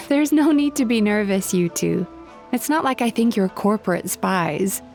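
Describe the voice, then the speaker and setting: A woman voicing a friendly, composed yokai therapist in a video game, polite, measured and reassuring. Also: medium pitch, lively intonation, vocal fry, faint music behind. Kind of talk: scripted video game dialogue.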